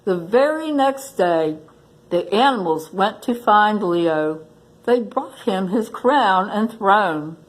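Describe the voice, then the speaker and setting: A woman's voice: medium pitch, lively intonation, slow pace, low-quality recording. A woman reading a children's storybook aloud as a library story reader, expressively addressing young listeners.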